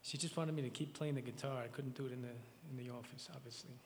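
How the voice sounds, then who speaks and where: A man with a raspy voice giving a halting, emotional memorial address and reading scripture in a church. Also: mid-range voice, steady tone, long reverb tail, slight background noise. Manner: halting, tearful, repeats words. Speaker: speaker at a memorial service.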